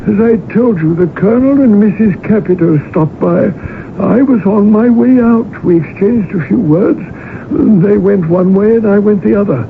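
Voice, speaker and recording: Crackly, narrow old radio sound frames a proper manservant. He speaks in a high, creaky voice, formal and deferential, with fussy precision suited to a period radio play. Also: moderate pace. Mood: concerned. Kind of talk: old-time radio drama acting. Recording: noisy, narrow-band old radio broadcast recording.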